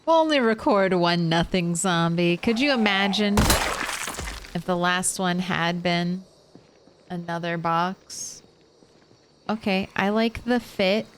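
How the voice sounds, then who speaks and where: A woman's voice: lowish voice, slow, a little hiss or hum. A young adult woman streaming a game, chatting casually, thinking aloud and laughing often. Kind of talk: casual chat while gaming.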